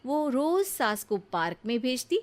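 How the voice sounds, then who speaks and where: A woman's voice: sits high, sing-song, unhurried. A woman narrating a scripted moral story for children as its storyteller.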